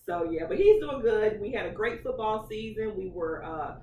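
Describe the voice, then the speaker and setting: A middle-aged woman from Michigan, a guest in a casual chat, talking freely with laughs and sudden loud cheers. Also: mid-range voice, lively intonation, moderate pace. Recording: echoey room, far from the mic, faint noise.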